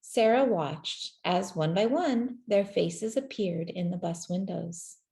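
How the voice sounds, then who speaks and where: A woman reading a children's story aloud in a gentle, measured way, with deliberate pauses between phrases. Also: lowish voice, creaky. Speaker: storybook reader. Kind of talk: scripted children's story narration, read aloud.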